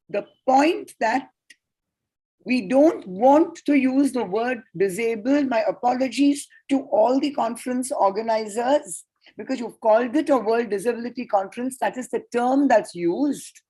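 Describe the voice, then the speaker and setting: Woman w tamil as first language, talking slow and breathy, giving a paper over a video call. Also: on the high side, animated, warm tone, low-quality recording. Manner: measured, deliberate lecturing with enumerated points. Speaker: conference paper presenter. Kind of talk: academic conference presentation. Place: online conference session.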